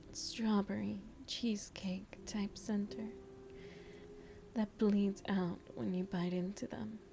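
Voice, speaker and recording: A woman voicing a seductive, motherly vampire hostess in an ASMR roleplay, breathy and soft, with a tender, teasing manner. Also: mid-range voice, slight background noise. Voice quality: breathy, whispery.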